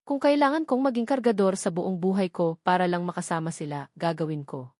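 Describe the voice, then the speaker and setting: A woman's voice: on the low side, fairly quick, darkish tone, no echo, studio quality, lightly acted. A woman voicing an anguished, defiant rich young man in an audio drama story. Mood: resolute, pained.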